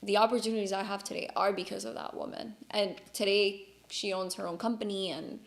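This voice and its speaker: A woman's voice: mid-range voice, steady tone, fairly quick, creaky. A woman from Cuba, a native Spanish speaker, hosting a casual conversational podcast in an earnest, emphatic way. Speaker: podcast host.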